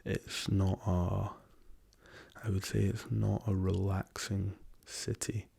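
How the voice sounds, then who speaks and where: Soft-spoken hoarse man, low and gravelly. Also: little pitch movement. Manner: soft-spoken, slow and hesitant with many ums, drifting into whispers. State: hoarse from a lingering cough. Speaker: ASMR channel host. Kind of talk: soft-spoken ASMR travel chat.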